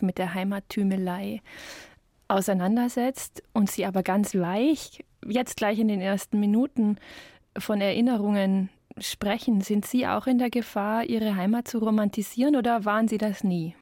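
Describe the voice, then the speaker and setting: A woman from Bavaria hosting a radio interview, asking her questions in an engaged, warm way. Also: medium pitch, dry recording, studio quality. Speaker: radio host.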